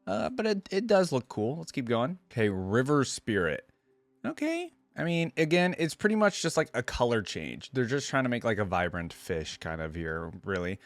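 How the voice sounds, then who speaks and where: A man's voice: medium pitch, wildly swooping pitch, moderate pace, no echo. A man hosting a reaction video, giving his opinions in casual, conversational commentary.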